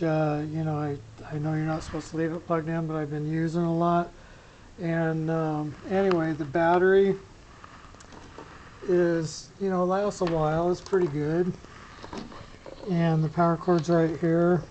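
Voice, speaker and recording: A middle-aged hobbyist talks through a casual demo at an unhurried, halting pace. The tone stays flat as the talk rambles with frequent pauses. It is picked up on a small camera clipped to a shirt, with some noise behind it. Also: mid-range voice, lo-fi sound. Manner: rambling, hesitant, pausing often mid-sentence. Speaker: amateur radio hobbyist giving a gear demo. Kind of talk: casual unscripted demonstration. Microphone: small camera pinned to t-shirt. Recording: noisy clip-on camera sound with clicks.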